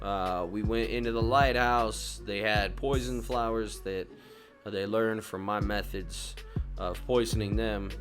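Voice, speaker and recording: A man's voice: on the low side, steady tone, measured pace, a bit bright. A man hosting a gaming channel with casual walkthrough commentary, brash, boastful and sweary in slangy phrasing. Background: music.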